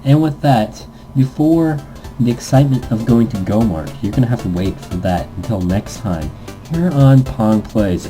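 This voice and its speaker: A man hosting a let's-play, reading a visual novel aloud and adding playful, goofy improvised asides in a casual gaming commentary style. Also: sits low.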